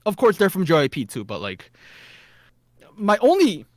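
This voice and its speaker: Co-host, native Korean speaker talking English, lively pitch, restarts a lot, dry sound. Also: male voice, moderate pace, no echo. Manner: animated, stammers and repeats words. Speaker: podcast co-host. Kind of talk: casual chat.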